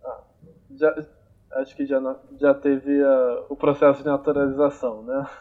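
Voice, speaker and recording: A man's voice: medium pitch, flat delivery, moderate pace, narrow, tinny audio. A man hosting a podcast, thinking aloud with many hesitations on an amateur remote recording. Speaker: podcast host. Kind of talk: casual podcast introduction. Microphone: amateur remote recording on phone or computer.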